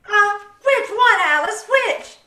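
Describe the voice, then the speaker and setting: A woman's voice: very high voice, expressive, slow pace, bright, forceful tone, heavily acted. A woman playing a wry, long-suffering but affectionate wife in old-time radio comedy, teasing and coaxing with sarcasm. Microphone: video call. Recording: roomy, distant video call, faint noise.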